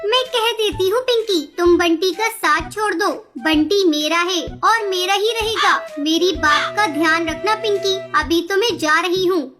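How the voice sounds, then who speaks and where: Woman as a shrill, arrogant young cartoon bird. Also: a little slow, few pauses, sharp tone, soft background music, heavily acted. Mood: possessive, demanding. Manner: haughty, scolding, defiant. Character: arrogant, spoiled, hot-tempered young female bird.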